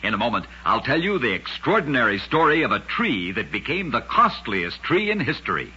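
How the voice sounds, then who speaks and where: A man's voice: mid-range voice, big pitch swings, a little fast, sharp tone, slightly rough. A man narrating scripted oddities as host of an old-time radio program, with a dramatic, emphatic storytelling delivery. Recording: muffled old radio recording.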